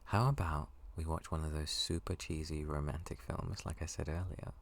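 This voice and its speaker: A man acting out a young male best friend in an ASMR roleplay, with a very deep, creaky voice. He is eager and affectionate, teasing one moment and bashful the next. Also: steady tone, moderate pace, dry recording, heavily acted. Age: young adult.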